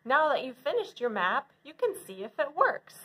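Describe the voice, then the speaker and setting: A woman's voice: high-pitched, very animated, normal speed, highs cut off. A woman presenting as a children's librarian, explaining things to young viewers in simple steps. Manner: simple, clearly phased step-by-step explaining. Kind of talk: instructional talk for children.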